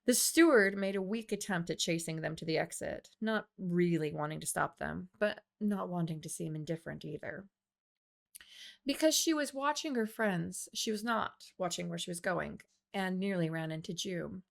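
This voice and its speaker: A woman reading her own fiction aloud as an expressive storyteller. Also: fairly low pitch, normal speed, creaky. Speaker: author reading own audiobook. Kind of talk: expressive scripted narration of fiction.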